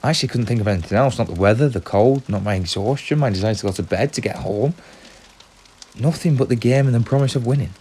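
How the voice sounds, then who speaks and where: A man's voice: mid-range voice, fast talker, a little mellow, slight background noise, natural voice. A man playing a weary, easily tempted traveller in an audio drama, speaking in a hesitant, natural, conversational way. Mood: rueful.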